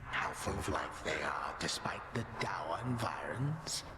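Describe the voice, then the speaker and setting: An ancient titan villain from a video game, theatrical and falsely pleasant. His delivery swings widely in pitch as he needles with old resentment. It echoes, with loud noise behind it. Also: expressive, measured pace. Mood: mock pleasantry.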